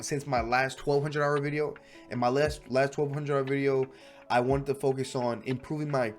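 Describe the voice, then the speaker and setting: A young man with a stuffy voice from a cold, chatting casually and correcting himself often as he talks about his own learning. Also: medium pitch. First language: English. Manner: chatty, self-correcting, restless. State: sick, stuffy voice. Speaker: language learning vlogger. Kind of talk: casual rambling monologue.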